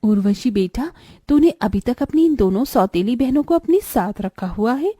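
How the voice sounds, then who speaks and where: A woman's voice: fairly high pitch, lively intonation, normal speed, mellow, breathy edge, no echo, studio quality. A woman voicing a scheming middle-aged aunt in a cartoon moral story, with a coaxing, familiar manner.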